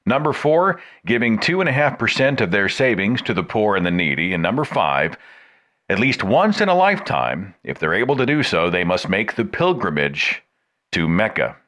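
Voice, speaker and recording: A man hosting a talk podcast, reading a scripted introduction and then interviewing a caller with emphatic, expressive delivery. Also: fairly low pitch, normal speed. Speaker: podcast host.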